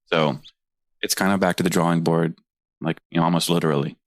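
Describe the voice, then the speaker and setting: A man co-hosting a podcast, chatting in a relaxed, easygoing way. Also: low voice, brisk, dry recording, studio quality. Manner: relaxed, easygoing, lots of fillers. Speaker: podcast co-host. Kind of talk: casual chat.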